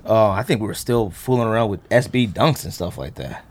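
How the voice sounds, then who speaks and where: A man in his thirties hosting a casual chat, rambling and thinking out loud in slangy talk. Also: fairly low pitch, fairly quick, noisy background. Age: 30s. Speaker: podcast host. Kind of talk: casual chat about sneakers.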